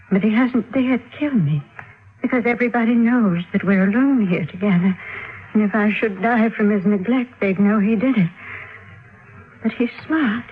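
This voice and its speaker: An actress playing a frail, fearful little old widow, speaking gravely and haltingly in old-time radio drama style. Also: female voice, medium pitch, natural voice. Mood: bitter, fearful. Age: older. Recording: tinny, noisy old radio recording.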